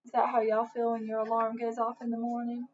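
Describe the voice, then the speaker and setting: A woman's voice: on the high side, brisk. A woman reading a storybook aloud for children, expressively, in the manner of someone addressing young listeners. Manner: expressive, asks listeners questions. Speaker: storybook reader. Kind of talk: children's read-aloud narration with asides. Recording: dull lo-fi sound, faint noise.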